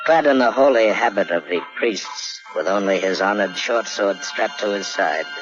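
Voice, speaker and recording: A man performing as the solemn, measured narrator of a legend in an old radio drama. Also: on the low side, close to an everyday voice. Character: solemn male storyteller of an old Japanese legend. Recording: dull vintage radio recording, loud music.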